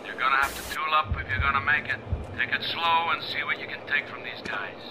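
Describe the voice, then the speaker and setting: An actor playing a sadistic, sleazy snuff film director in a video game, theatrical and mock-sincere, moving between murmurs and barks. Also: male voice, medium pitch, steady tone, fairly quick, noisy background, lightly acted. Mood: scornful, instructing. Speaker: villain directing the player over an earpiece. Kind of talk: video game villain acting.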